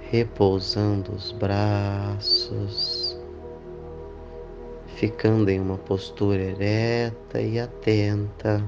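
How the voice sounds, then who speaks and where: A man leading a guided meditation, speaking in deliberate phrases with long pauses. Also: lowish voice, level tone, dull audio. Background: clear background music.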